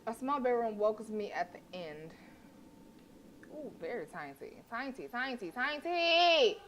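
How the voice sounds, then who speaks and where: A woman's voice: fairly high pitch, sing-song, measured pace, fairly bright tone, a little hiss or hum. A woman streamer reading a horror visual novel aloud, breaking off into joking, swearing asides. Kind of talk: reading game text aloud with asides to chat.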